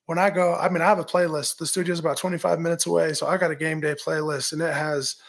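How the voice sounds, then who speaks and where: A man with a raspy voice, a musician guest talking in an energetic, pep-talk way and often tagging on "right?". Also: a little high, fast talker. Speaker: musician guest, former baseball pitcher. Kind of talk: casual interview talk.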